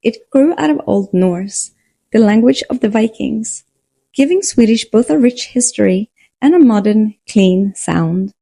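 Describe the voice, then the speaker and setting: A woman narrating a language lesson in a slow, measured way. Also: a little high, expressive, vocal fry, dark tone, breathy edge, no room sound.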